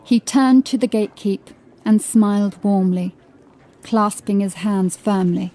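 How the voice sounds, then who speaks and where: Low-pitched, mellow woman narrating horror fiction. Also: unhurried, rough recording.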